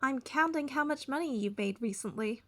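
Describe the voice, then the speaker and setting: A young adult woman reading game narration and dialogue aloud in an expressive storytelling style. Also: high voice, normal speed.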